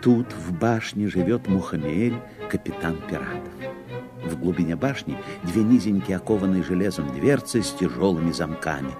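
Narrator: solemn, deep, setting fairy-tale scenes, music underneath. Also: male voice, expressive, moderate pace. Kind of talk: scripted narration. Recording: music behind the voice.

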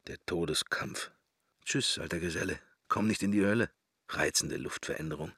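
An actor playing a wry, brooding middle-aged man murmuring a wandering inner monologue in a radio drama, gravelly and husky. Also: low-pitched, normal speed, fairly bright tone, no echo, heavily acted. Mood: morbid drifting. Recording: roomless, very dry studio sound.

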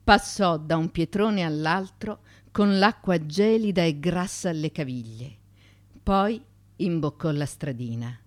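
A woman reading literary fiction as radio theatre, with intense, theatrical narration and deliberate phrasing. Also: sits low, darkish tone, no echo. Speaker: actress reading a novel.

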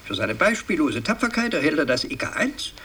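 A pompous old aristocratic father in a radio play, speaking rather high with wide swings of pitch. He is fussy, halting and ceremonious, in a distant, noisy old recording. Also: sing-song, bright, forceful tone. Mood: solemn, fussy. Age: older. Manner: halting, ceremonious, long-winded. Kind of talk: radio drama acting.

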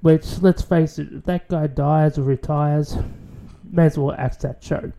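Dark-voiced rambling Aussie radio host. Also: male voice, fairly high pitch, fairly even intonation, unhurried, gravelly. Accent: Australian. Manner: rambling, profane, many restarts. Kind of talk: rambling casual monologue.

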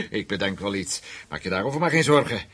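A vengeful radio play interrogator, really raspy, pitch goes up and down a lot, sardonic and threatening. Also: male voice, animated, a little fast, a bit bright. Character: hard, vengeful male investigator, survivor of Nazi camps.

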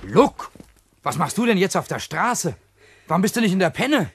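A man's voice: high-pitched, big pitch swings, normal speed, fairly bright tone, slightly rough, exaggerated voice. An actor playing a playful, romantic grown man posing as a schoolboy in a German radio play, dryly teasing. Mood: surprised, friendly. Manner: dry, teasing. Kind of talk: German radio drama acting.

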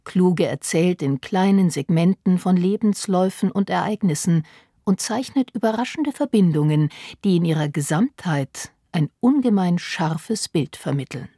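A female announcer reads a scripted introduction in a low, mellow voice. It is soft and breathy, recorded very dry. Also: animated, normal speed, dry recording.

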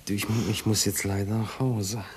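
Soothing secret lover played by a man, low register, hesitant, 1960s radio drama, slight background noise. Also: level tone, normal speed, lightly acted. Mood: evasive, cajoling. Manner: placating, hesitant. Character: soothing, evasive secret lover of a married woman. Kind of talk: 1960s German radio play acting. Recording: noisy old radio recording.

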